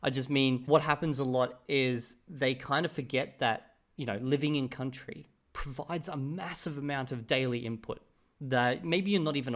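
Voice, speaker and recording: An Australian man hosting his channel, giving relaxed, opinionated commentary on another creator's video. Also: medium pitch. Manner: relaxed, opinionated, chatty asides. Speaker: channel host reviewing a video. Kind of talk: casual commentary.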